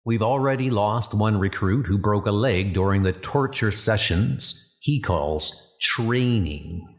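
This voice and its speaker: A man voicing an earnest, cool-headed young British spy recruit, speaking politely and with measured care in a comic dub. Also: on the low side. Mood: weary, measured.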